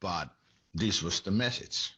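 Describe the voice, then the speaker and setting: A man with a Finnish accent giving conversational political analysis in a halting, deliberate way. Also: deep voice, highs cut off, crowd noise. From Finland. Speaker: interview guest, academic commentator.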